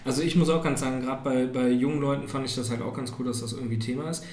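A man's voice: medium pitch, fairly even intonation, very fast, darkish tone. A man in his thirties chatting casually, telling rambling stories full of fillers. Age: 30s. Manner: rambling, filler-heavy storytelling. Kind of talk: casual chat. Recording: echoey room with faint ambience.

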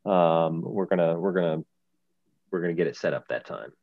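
A man with a lowish voice co-hosts a podcast in loose, casual chat. He rambles, restarting his sentences as he goes. The recording is dry and dull, with a lo-fi sound. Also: moderate pace, gravelly, no echo. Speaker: podcast co-host.

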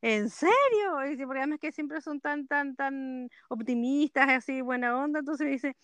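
A woman's voice: sits high, moderate pace, a bit bright, no echo. A woman with a Chilean accent co-hosting a podcast, telling rambling stories casually from under a duvet in bed. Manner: rambling storyteller, laughs often. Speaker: podcast co-host. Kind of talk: casual chat. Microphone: headphones with computer.

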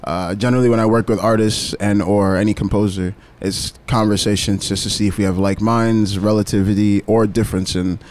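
A man from Brooklyn, a percussionist guest, speaking in a relaxed way with fillers like "uh". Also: on the low side, normal speed, hardly any pauses. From Brooklyn, United States. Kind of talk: casual conversational interview.

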